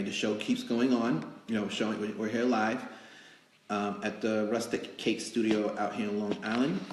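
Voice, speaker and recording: A man hosting a casual live stream, chatting in a rambling, halting way as he fills time. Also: on the low side. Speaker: magazine editor hosting a live interview show. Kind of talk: casual improvised livestream hosting. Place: indoors in a cake studio. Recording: roomy, echoing live stream indoors.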